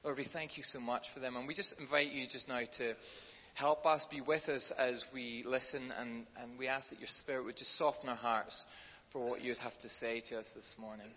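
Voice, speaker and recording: A man's voice: mid-range voice, steady tone, fairly quick. Hesitant man hosting a church service. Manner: warm-hearted, hesitant, repeats words. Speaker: church leader and service host. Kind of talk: introducing a guest and praying. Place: church hall. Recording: dull distant hall recording.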